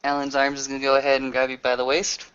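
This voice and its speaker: Bright, clear-voiced man roleplaying. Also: medium pitch, monotone, fast, muffled recording. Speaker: game master and roleplayer. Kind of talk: improvised tabletop roleplay, narrating and speaking in character.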